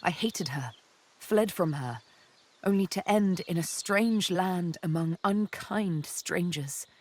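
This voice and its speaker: A woman's voice: on the low side, takes it slowly. A woman playing a cool, sardonic, vengeful elf assassin in a fantasy game, poised, wry and intimate. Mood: troubled, bitter. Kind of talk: fantasy video game acting.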